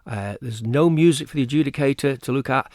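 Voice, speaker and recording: A man's voice: mid-range voice, a little fast, a little mellow, no echo, studio quality. A man speaking as an event organiser in an informal radio interview, repeating words hesitantly as he explains. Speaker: choir festival organiser, interview guest.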